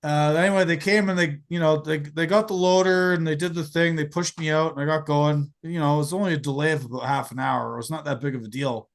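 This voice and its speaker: An American man in his forties hosts a relaxed podcast chat, his voice a touch higher than most men's and roughened by a gravelly edge. He tells stories in an animated way, swears freely and trips over repeated words as he goes. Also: quick pace, hardly any pauses. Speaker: podcast host. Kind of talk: casual storytelling chat.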